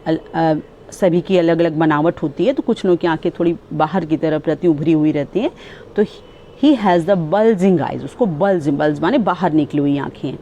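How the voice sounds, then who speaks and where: A woman with an Indian accent teaching spoken English. She explains each phrase and then says the English sentence slowly and clearly. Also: sits low, warm tone, a little hiss or hum.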